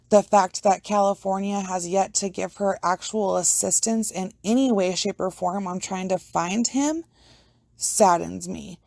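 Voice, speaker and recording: A woman's voice: fairly low pitch, dark tone, no room sound. Earnest woman talking, dry close sound. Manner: earnest, rambling, with casual swearing. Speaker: podcast host. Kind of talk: casual personal talk to camera.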